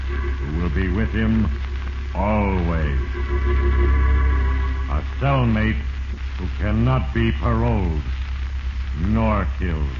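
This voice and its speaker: Casting: ominous, solemn spirit of destiny narrating radio melodrama, very slow and portentous, dull vintage sound. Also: male voice, fairly low pitch. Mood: ominous gloating. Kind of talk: 1940s radio acting. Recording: echoey, dull 1940s radio with music behind.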